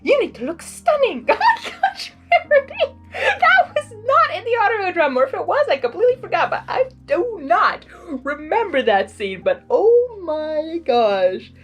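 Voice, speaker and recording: A woman's voice: very high voice, sing-song, measured pace, faint music behind. A woman giving gushing, exclamatory fan commentary as she hosts a story reading. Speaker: host of a blind-reading series. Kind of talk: excited fan commentary between story narration.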